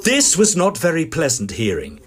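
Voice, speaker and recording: An actor playing an inquisitive Edwardian gentleman ghost hunter, narrating and speaking in a formal British accent. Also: on the high side, huge pitch swings, normal speed, music in the background. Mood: measured, inquiring. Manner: genteel, formal. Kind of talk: dramatised storytelling.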